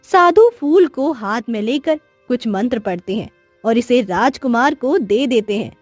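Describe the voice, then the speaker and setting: Woman, high voice, cartoon story narrator, scripted, faint background music. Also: expressive, a little slow, no room sound.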